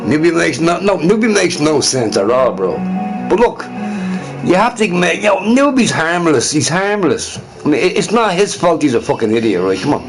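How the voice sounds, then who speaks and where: A man's voice: fairly high pitch, very animated, moderate pace, over music, poor audio. A man streaming on his own, chatting casually in a rambling, profane way and laughing often. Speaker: livestreamer. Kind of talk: rambling solo stream chat.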